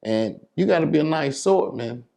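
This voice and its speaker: A man's voice: a little high, animated, normal speed, gravelly, dark tone. An American man, a DJ in an interview, explaining things casually and often checking that he is understood. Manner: explanatory, repeats "you know what I'm saying". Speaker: DJ and entertainer being interviewed.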